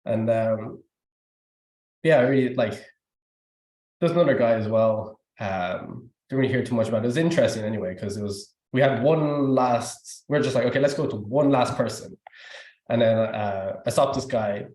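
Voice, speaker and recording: A man's voice: mid-range voice, normal speed, darkish tone. A young man with an Irish accent giving a rambling, informal testimony at a youth service, full of fillers and restarts. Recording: echoey room.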